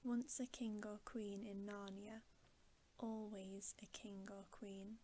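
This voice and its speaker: A woman reading a bedtime story aloud in ASMR style, softly and deliberately, often in a whisper, close to the microphone. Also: medium pitch. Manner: soft, hushed, often whispering, slow and deliberate. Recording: steady background hiss.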